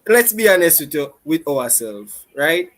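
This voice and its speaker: A Jamaican man arguing about football in a forceful, emphatic way. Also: fairly high pitch, sing-song, a little slow. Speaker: panelist. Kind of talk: casual football debate.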